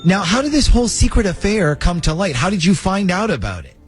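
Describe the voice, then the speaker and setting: A man hosting a radio call-in segment, talking in a lively, joking, conspiratorial way. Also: high-pitched, a little fast, faint music behind. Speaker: radio show host. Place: radio studio.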